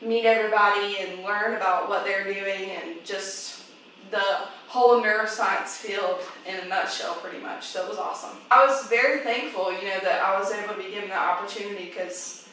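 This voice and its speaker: A young woman answers interview questions casually as an undergraduate student. She sounds some way from the microphone in a very reverberant room, with faint noise behind her. Her pitch is average. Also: fairly even intonation, moderate pace, a bit bright. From South Carolina, United States. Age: young adult. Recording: very echoey room, distant mic, faint noise.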